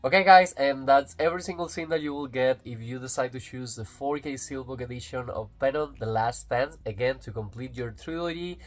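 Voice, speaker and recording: A man's voice: mid-range voice, a little fast, hardly any pauses, faint music behind. Male YouTube reviewer, native Spanish speaker with a Latin American accent, eager and rambling, mid-range voice. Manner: enthusiastic, rambling with fillers and restarts. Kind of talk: casual unboxing review.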